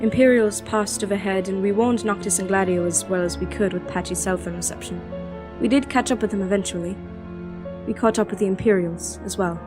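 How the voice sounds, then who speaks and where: A woman's voice: mid-range voice, fairly quick, natural voice. A woman playing a young warrior princess who tells her story in an earnest, reflective way in an audio drama. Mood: regretful, reflective. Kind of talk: fan audio drama, first-person narration and dialogue. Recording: music bed under the voice.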